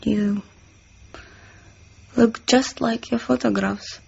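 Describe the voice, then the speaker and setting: A woman's voice: a little high, steady tone, takes it slowly, gravelly, a little mellow, breathy edge, heavily acted. An actress playing a coy, demure young Russian woman spy in a radio drama. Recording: dull, muffled sound.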